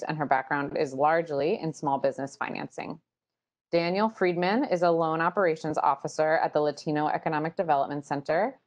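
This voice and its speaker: American female moderator, thirties, low register, presenting and reading introductions on a video call. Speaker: panel moderator. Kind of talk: hosting an online panel, partly reading introductions. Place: small room at home, indoors. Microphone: wired earbuds on a video call.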